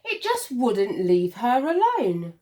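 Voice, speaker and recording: A woman reading a children's picture book aloud like a primary school teacher, expressively, with deliberate dramatic pauses and emphasis for young listeners. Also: fairly high pitch, huge pitch swings, warm tone.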